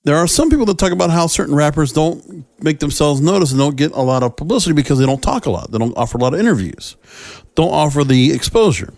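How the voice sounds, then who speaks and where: A man speaking as a podcast host, giving solo commentary in halting, short phrases. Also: fairly high pitch, sing-song, gravelly, darkish tone, no echo, clean studio sound.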